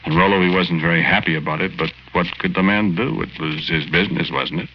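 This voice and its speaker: A man's voice: sits low, fairly even intonation, fast talker, a little hiss or hum, lightly acted. A man acting in a 1940s radio drama as a good-hearted, sentimental ghost, telling his story in folksy, ungrammatical slang. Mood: wistful, plainspoken. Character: good-hearted lovelorn working-class man's ghost, sentimental and naive. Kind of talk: 1940s radio acting, first-person narration. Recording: lo-fi 1940s radio recording, loud music.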